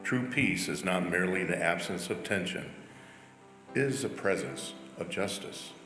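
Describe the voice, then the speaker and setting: A man's voice: low voice, moderate pace, bright, forceful tone. A man reading quotations aloud as a church member. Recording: echoey hall, music underneath. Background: music.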